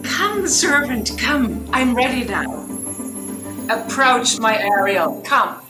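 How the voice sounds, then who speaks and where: A woman playing a commanding sorceress and mistress of spirits in a Shakespearean audio drama, with a grand, measured, authoritative delivery. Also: fairly high pitch, sing-song, over music. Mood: summoning. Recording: roomy remote recording with faint noise.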